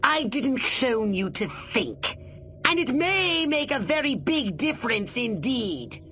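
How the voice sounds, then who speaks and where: A man's voice: very high-pitched, very animated, takes it slowly, sharp tone, slight background noise, heavily acted. A man voicing a scheming, imperious alien commander in a video game, with haughty, precise, formal delivery. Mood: stern, urgent. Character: scheming, imperious alien commander briefing his soldier clone. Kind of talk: video game cutscene acting.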